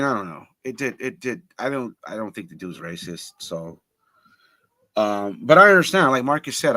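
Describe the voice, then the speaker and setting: A man's voice: lowish voice, bright, forceful tone, no room sound. A man from Los Angeles talking candidly on a livestream panel, slangy and full of restarts. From Los Angeles, United States. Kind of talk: casual chat.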